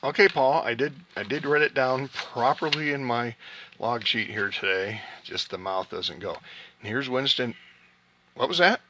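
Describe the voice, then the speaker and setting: A man's voice: medium pitch, moderate pace, pushed and bright, a little hiss or hum. A man hosting a casual amateur radio session, chatting with operators and signing them off with call signs. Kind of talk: casual radio net chat, signing contacts off.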